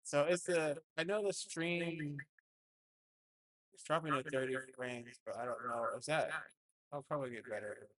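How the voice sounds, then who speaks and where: A man's voice: fairly high pitch, fairly even intonation, moderate pace, fairly bright tone, dry recording, rough recording. A young man chatting casually as he sets up a stream at home, rambling and hesitant with lots of fillers, speaking into a desktop mic. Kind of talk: casual chat while setting up a stream.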